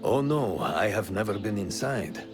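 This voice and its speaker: An actor playing a reflective, stoic rebel scout in a video game, with formal, deliberate delivery. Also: male voice, lowish voice, animated, over music. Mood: wistful, stoic. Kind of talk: video game cutscene acting.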